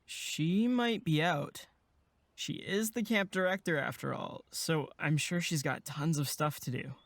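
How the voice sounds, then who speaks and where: A woman playing an easygoing, friendly young male camp counselor in a horror audio drama, with a gentle, peacemaking manner. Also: sits low, a little slow, creaky, no room sound, heavily acted. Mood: reassuring, mild.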